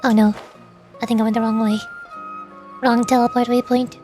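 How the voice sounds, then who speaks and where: A woman's voice: fairly high pitch, flat delivery, moderate pace, a little mellow, faint music behind. A woman streaming a game, giving casual, rambling commentary as she thinks aloud. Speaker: streamer, VTuber.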